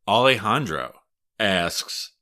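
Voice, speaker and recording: A man's voice: fairly low pitch, big pitch swings, takes it slowly, pushed and bright. A man with a Wisconsin accent, hosting a podcast in casual chat, thoughtful and a bit hesitant, with frequent restarts. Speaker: podcast host and historian.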